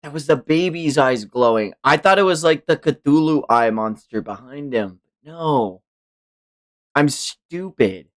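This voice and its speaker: Slow-paced streamer, casual and sweary. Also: medium pitch, lively intonation. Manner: sweary, unguarded. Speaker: streamer reading manga aloud. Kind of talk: live read-along with casual excited reactions.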